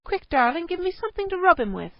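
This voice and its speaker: Woman putting on a shrill scolding cave housewife. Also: big pitch swings, normal speed, no room sound, lo-fi sound. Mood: frantic. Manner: shrill, fussing, exclamatory. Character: fussy scolding cave housewife, middle-aged.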